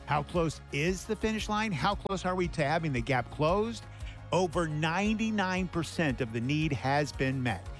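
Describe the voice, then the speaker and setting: An American man, a radio station manager, delivering a fundraising announcement addressed directly to listeners. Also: fairly high pitch.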